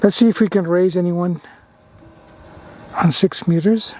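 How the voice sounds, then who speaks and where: Male radio hobby vlogger, fairly high but mellow voice, casual and effusive, repeats phrases. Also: steady tone, measured pace, a little hiss or hum. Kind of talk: casual radio chat and hands-on commentary.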